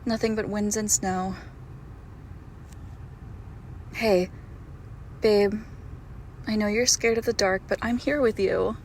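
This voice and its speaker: A woman plays a tender, possessive girlfriend hiding something monstrous. She speaks very slowly and softly, with plenty of fry in the voice, often sinking toward a whisper. It is an intimate roleplay audio drama with faint noise behind it. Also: mid-range voice, steady tone, natural voice, poor audio. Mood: tender, reassuring. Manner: slow, hushed, tender, often dropping to a whisper.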